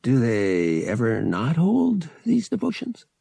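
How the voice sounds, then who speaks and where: Guy playing a cynical young smuggler hero, defiant and sardonic, husky voice, audiobook. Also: mid-range voice, huge pitch swings, takes it slowly, dark tone, no room sound, exaggerated voice. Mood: curious, muttering. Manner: sardonic, gritty.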